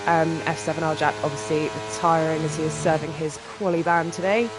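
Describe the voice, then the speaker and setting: A sim racing commentator calls a live session, voice thick and stuffy from a cold. The pitch sits in the middle, and the delivery jumps quickly with excitement at fast laps. Music plays clearly in the background. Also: rough recording. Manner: excitable, quick reactions to lap times. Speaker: race commentator.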